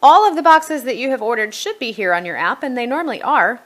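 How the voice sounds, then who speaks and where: A woman's voice: a little high, lively intonation, quick pace, fairly bright tone. A middle-aged woman giving a casual product walkthrough as a reviewer, conversational and thinking aloud as she goes. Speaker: homeschool curriculum reviewer.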